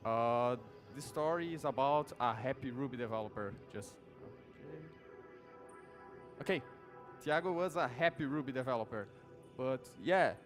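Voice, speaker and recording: A young man from Brazil with a Brazilian Portuguese accent, giving a relaxed conference talk on a big stage, with many fillers and restarts. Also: mid-range voice, normal speed, soft background music. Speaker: conference speaker. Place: large dark auditorium stage.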